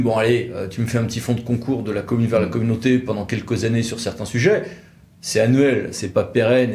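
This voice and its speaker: A man's voice: medium pitch, a little mellow. A man giving a conversational legal explainer, didactic, repeating words for emphasis. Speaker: legal expert presenter. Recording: echoey room with light noise.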